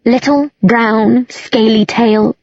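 A woman voicing an indignant, tipsy young woman in an audiobook, with a whiny, irritable, drawn-out delivery. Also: fairly high pitch, steady tone, a little mellow, no echo, lo-fi sound, pitched above the performer's own voice.

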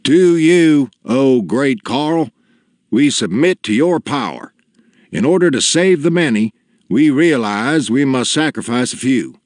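Male narrator playing a brave little village hero, earnest, persuasive, animated swooping pitch, raised above his own, storybook tale. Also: a little slow, dry recording, clean studio sound. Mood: solemn, pleading. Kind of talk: storybook character voice.

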